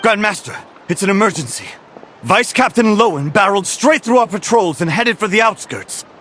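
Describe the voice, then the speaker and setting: An actor playing an anxious young knight messenger, reporting in a hurried, breathless way in a video game. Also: male voice, sits high, lively intonation, a bit bright, slight background noise. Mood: urgent.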